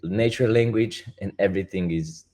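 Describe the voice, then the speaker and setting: A man with a Romanian accent, a project founder speaking as a guest and explaining step by step in halting, restarting sentences. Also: low voice, a little mellow. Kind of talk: casual interview talk.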